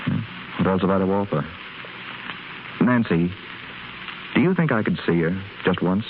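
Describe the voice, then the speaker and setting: A man's voice: low-pitched, normal speed. An actor playing a young wealthy man who trained as a doctor, earnest and eager, with a slight stammer. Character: young wealthy man, former medical student, lovesick and eager. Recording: tinny noisy 1930s radio broadcast.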